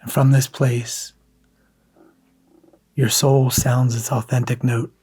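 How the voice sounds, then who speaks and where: Guy leading a guided meditation, super slow and even, soft hushed voice w long pauses. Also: medium pitch, slightly rough.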